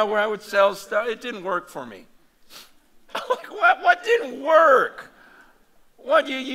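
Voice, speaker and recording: A man preaching a conference sermon in a loose, animated, conversational way, full of fillers and restarts. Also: high-pitched, vocal fry. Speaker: pastor. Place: large hall or meeting room before an audience.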